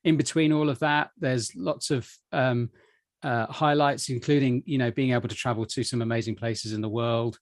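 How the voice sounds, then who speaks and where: A man's voice: mid-range voice, fairly even intonation, normal speed, dry recording, studio quality. A man in his forties, a podcast guest, telling his story in an upbeat, rambling way with many fillers and restarts. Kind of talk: conversational interview answers.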